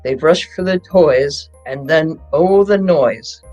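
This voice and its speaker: Slow, deliberate storybook reader. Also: medium pitch, a little mellow, rough recording. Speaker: library staff member reading aloud. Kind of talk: children's storybook reading with drawn-out repetition. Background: background music.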